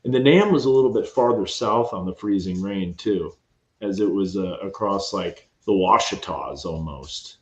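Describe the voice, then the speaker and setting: Hushed low-voiced man at home. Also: moderate pace. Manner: quiet, hushed, rambling forecast talk. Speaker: meteorologist host. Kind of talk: late-night weather livestream. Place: upstairs room at home at midnight. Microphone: cheap home mic. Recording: roomy, dull home mic.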